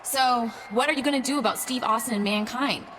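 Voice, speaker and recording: A woman voicing a brisk backstage interviewer in a wrestling game, on a noisy recording. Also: fairly high pitch, lightly acted. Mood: businesslike.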